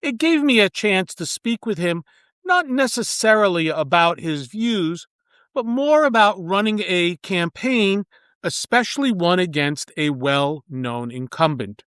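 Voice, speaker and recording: A man hosts an interview podcast with a smooth, resonant voice that sits a bit high. He delivers in a measured, formal manner. The sound is close and dry, with no room around it. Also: expressive, fairly bright tone, no echo. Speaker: podcast host. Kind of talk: scripted intro then interview.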